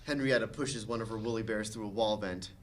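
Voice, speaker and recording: A man's voice: fairly low pitch, fairly even intonation, a little fast. A man reading stage directions as the narrator of a comedic script table read.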